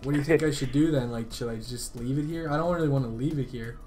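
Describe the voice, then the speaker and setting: A man's voice: mid-range voice, quick pace, a little mellow, soft background music. A man chatting casually on a call, rambling in loose slang with plenty of swearing. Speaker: gaming video creator making a prank call. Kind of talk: casual phone chat.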